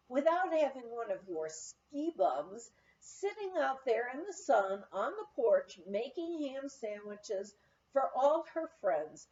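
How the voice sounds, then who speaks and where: A woman reader acting out an indignant female cook in a storybook telling. She scolds and complains in a high, animated voice, spelling out her grievance. The sound is slightly muffled. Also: recorded from a distance, full character voice. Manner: blasting, scolding. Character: gruff, indignant female ski-area cook. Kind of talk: storybook read-aloud.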